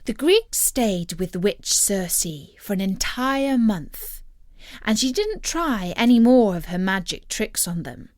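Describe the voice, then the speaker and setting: A woman telling a story as scripted narration, in an expressive and deliberate manner. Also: mid-range voice, no echo, studio quality.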